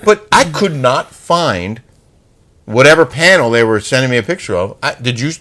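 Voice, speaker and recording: A man's voice: medium pitch, big pitch swings, normal speed, a bit bright. A man co-hosts a casual chat with a medium voice that rises and falls a great deal. He explains things in a plain, matter-of-fact way. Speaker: co-host.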